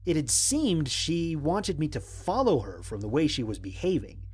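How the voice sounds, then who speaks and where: A man playing a stranded space explorer who narrates his mission log in a measured, formal, dryly humorous way. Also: on the high side, sing-song, darkish tone, breathy edge. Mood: measured, analytical. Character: stranded male space explorer, analytical, wryly exasperated. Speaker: narrator of an audio drama. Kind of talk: scripted first-person mission log narration.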